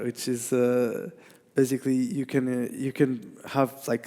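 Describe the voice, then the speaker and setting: Gravelly-voiced man with a Portuguese accent. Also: mid-range voice, a little mellow. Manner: halting, many restarts and fillers. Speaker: conference speaker. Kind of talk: technical conference talk. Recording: reverberant hall.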